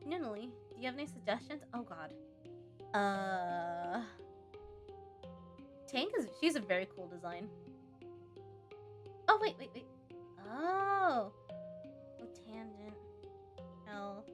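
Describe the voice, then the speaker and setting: A woman doing a casual let's play, reading on-screen game text aloud and breaking off to think out loud. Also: mid-range voice, sing-song, slow, long pauses, music in the background. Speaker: gaming video host.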